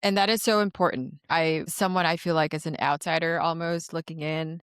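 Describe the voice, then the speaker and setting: A woman hosts a podcast interview, thoughtful and earnest as she talks. Her voice sits on the low side. The recording is dry and close, with no room sound. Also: fairly even intonation, fairly quick, a bit bright, clean tone, dry recording. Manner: reflective, earnest, hopeful. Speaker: podcast host. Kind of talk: conversational interview.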